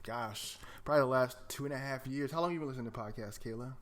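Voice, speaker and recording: A man's voice: medium pitch, fast, crowd noise. A man hosting a podcast in a casual, chatty, conversational way. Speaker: podcast host.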